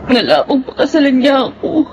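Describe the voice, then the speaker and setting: High-pitched, distraught pregnant housemaid. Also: female voice, sing-song, background noise. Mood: sobbing, ashamed. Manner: tearful, halting, pleading. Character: distraught pregnant young housemaid, abandoned and apologetic. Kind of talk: radio drama acting.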